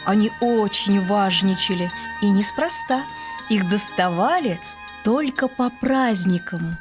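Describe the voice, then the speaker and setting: A woman narrating a children's bedtime story about household dishes in an expressive, playful way. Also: mid-range voice, unhurried. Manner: lively, playful. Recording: studio reading over music.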